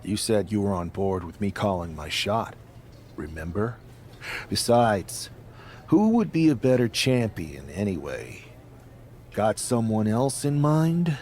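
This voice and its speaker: A man's voice: lowish voice, big pitch swings, unhurried, a little mellow, a little hiss or hum. A man voicing an ambitious, pushy wrestler who challenges his leader in insistent video game cutscene dialogue. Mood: defensive.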